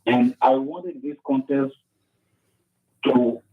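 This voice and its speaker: Author guest, man, French-speaking West African accent, halting, on a tinny phone line. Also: medium pitch, flat delivery. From Ivory Coast. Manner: halting, searching for words with many fillers. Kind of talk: radio interview by phone. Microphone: headphone mic over a phone line.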